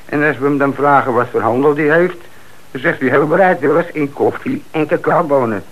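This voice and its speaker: A man's voice: on the high side, brisk, highs cut off, lightly acted. An actor playing a thoughtful, doubtful male police detective musing to his chief in a Dutch radio play. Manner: musing, sceptical.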